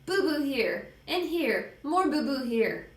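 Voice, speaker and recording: A woman's voice: high-pitched, animated, slow pace, roomy sound, voice pushed up. A woman voicing a babyish, stubborn preschool boy with clipped toddler speech in a storybook. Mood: whiny, upset.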